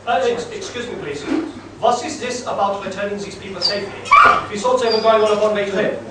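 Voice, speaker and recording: A man in an amateur radio play playing a shifty foreign schemer who blusters haltingly. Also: sits high, close to an everyday voice. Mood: indignant. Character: shifty foreign schemer posing as a Welsh villager. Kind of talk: amateur live comic radio play acting. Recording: echoey hall from far off, noisy.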